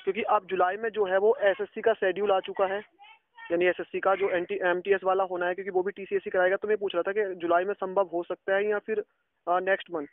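A man's voice: sits high, level tone, quick pace, no echo. Polite man on a tinny phone line. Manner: polite, deferential, repeats questions. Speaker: caller. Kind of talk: phone enquiry.

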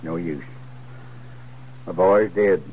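Faint hiss of a vintage radio drama. a grieving, devout old American homesteader speaks low and solemn, in few words. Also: male voice, slow, phone-quality sound. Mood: bitter grief. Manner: terse, solemn. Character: grave, pious, grieving old frontier father. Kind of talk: 1950s radio western acting. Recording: noisy vintage 1950s radio recording.

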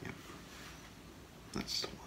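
A middle-aged man doing an ASMR role play as a gemologist, in a hushed, halting, whispery murmur. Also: background noise. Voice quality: breathy, whispery, gravelly murmur. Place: small curtained room indoors.

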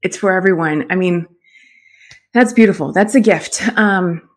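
A woman's voice: lowish voice, moderate pace. A middle-aged woman hosting a conversational interview over a video call. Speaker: show host, confidence coach.

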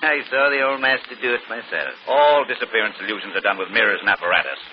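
A man plays a jovial, blustery fellow in a 1940s radio mystery. He talks fast at a middle pitch, and the old broadcast sounds muffled. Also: a little hiss or hum, lightly acted. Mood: jovial, brisk. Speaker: actor.

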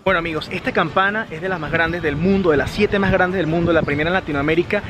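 A man's voice: a little high, quick pace, music in the background. A young adult man from Venezuela with a Venezuelan accent, narrating emphatically and casually as a travel vlogger. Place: outdoor town plaza. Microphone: camera at arm's length. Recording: noisy outdoor street vlog.

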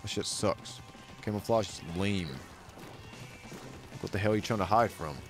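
A young man with a very deep voice casually commenting on his game, laid-back and swearing a lot. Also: steady tone, moderate pace, over music. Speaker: game streamer. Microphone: studio mic with bass roll-off.

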